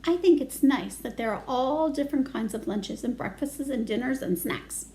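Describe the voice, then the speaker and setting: Woman reading friendly, contented schoolboy, high-voiced. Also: animated, normal speed, darkish tone, slight background noise. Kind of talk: children's picture-book character reading.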